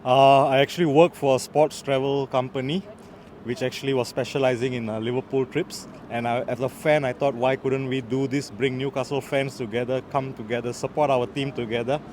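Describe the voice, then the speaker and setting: A man from Singapore speaks calmly and steadily about his club. His voice is mid-pitched. Faint traffic noise is behind him. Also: a little fast. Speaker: fan club member.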